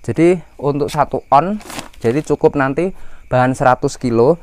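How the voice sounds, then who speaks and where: A man's voice: medium pitch, moderate pace, a little mellow, slight background noise. A man from Indonesia giving an informal step-by-step farming tutorial as the host, explaining things in a somewhat hesitant way and addressing viewers directly. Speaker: livestock farmer and channel host.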